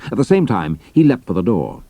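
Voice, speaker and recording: A man's voice: lowish voice, very animated, brisk, heavy background noise. A man with a British accent reading scripted adventure narration in a dramatic storytelling style.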